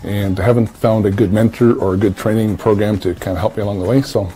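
Quick eager male voice, mellow and low-pitched. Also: steady tone, soft background music. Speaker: student, sales rep. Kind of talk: testimonial interview.

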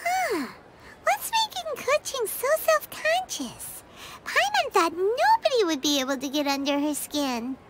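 A woman voicing a small, excitable, childlike fairy companion in a video game, with an exclamatory way of talking. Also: very high voice, unhurried, heavy background noise, full character voice. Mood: puzzled. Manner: refers to self in third person, exclamatory. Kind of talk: video game cartoon voice acting. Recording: music under the voice. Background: game music.

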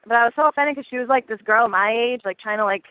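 A young woman rattles through a story in casual phone chat. Her voice comes over a thin, tinny line with no room sound at all. She talks quickly and swears freely. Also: on the high side, clean tone, no room sound. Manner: chatty storytelling, swears and laughs easily. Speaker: caller.

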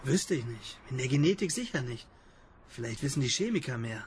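A man's voice: mid-range voice, lively intonation, fairly quick, natural voice. A young man playing a curious, stubborn genetics intern in a radio drama, speaking plainly and casually. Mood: fascinated, defensive. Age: young adult. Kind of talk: naturalistic German radio play acting.

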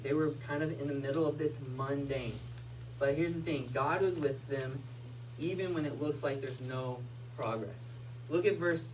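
Man preaching, heard from afar. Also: on the high side. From United States. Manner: animated storytelling with hand gestures. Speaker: pastor. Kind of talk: sermon. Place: small church hall with Christmas tree. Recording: distant mic, faint room noise.

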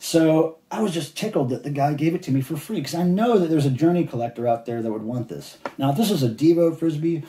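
A man excitedly showing off his flea market finds in a rambling, casual vlog, speaking from behind the camera at a table. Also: fairly high pitch, fast talker, few pauses, reverberant. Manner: enthusiastic, chatty, rambling. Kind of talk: casual show-and-tell vlog. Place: indoors at a table of cassettes.

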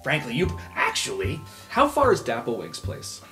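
Man, extremely varied intonation, casual improvised roleplay, game player, clear music behind. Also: mid-range voice, huge pitch swings, moderate pace, bright, forceful tone. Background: background music.

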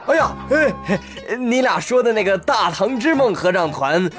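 An actor playing a fussy, chatty show-off adviser in a comic radio play, excitable. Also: male voice, high-pitched, unhurried, faint music behind, heavily acted. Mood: curious, fussy. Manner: excitable, stammering patter with exclamations.

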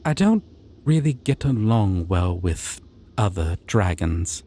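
A man voices a shy, gentle male dragon in a fantasy ASMR roleplay. The voice is mellow and unhurried, rambling in a soft, hesitant, dorky way, with lively swings in pitch. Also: full character voice. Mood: bashful, playful.

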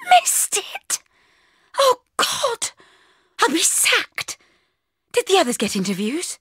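A woman's voice: on the high side, huge pitch swings, a little slow, breathy edge, dry recording, studio quality, pitched above the performer's own voice, full character voice. A woman playing a flustered, indignant single young television reporter with a dramatic, exclamatory manner. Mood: panicked.

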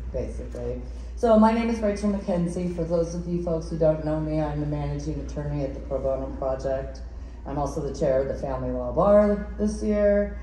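A woman giving a conference presentation, partly from a script, projecting her voice as a workshop presenter in a large room. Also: low-pitched. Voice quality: loud, projecting voice. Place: large indoor conference ballroom. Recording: very echoey room, some noise, distant.

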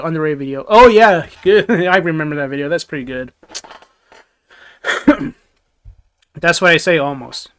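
A man's voice: a little high, a bit bright, clear, resonant tone. A young man hosting a casual livestream from a small bedroom, answering viewer comments with lots of restarts and chuckles into a headset mic. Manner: rambling, many restarts and chuckles. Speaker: livestream host. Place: small dim bedroom studio. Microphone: gaming headset.

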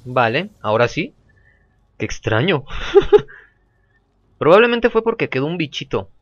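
Man commentating, throat-clearing. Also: fairly high pitch, dry recording, muffled recording. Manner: relaxed, repeats phrases, chatty. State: sore throat, clearing it often. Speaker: gameplay commentator. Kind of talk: casual gameplay commentary.

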